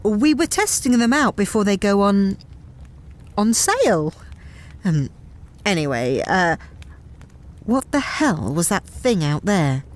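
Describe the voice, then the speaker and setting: A woman's voice: medium pitch, sing-song, slow pace, long pauses. A woman playing a brash, irritable starship captain in an audio drama, with a blunt, exasperated delivery. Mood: flustered.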